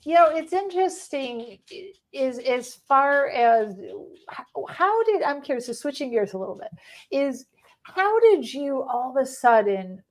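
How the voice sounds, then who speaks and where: A woman with a Chicago accent and a fairly high voice hosts a conversational podcast. She talks reflectively, circling around and rewording her questions as she goes. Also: very animated, a little slow. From Chicago, United States. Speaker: podcast host. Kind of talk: conversational interview.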